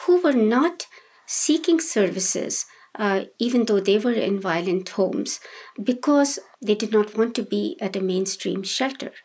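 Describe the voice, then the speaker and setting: Husky-voiced woman in her sixties from india. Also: medium pitch, darkish tone. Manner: thoughtful, hesitant with many fillers and restarts. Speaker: guest, domestic violence shelter worker. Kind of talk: casual interview answers.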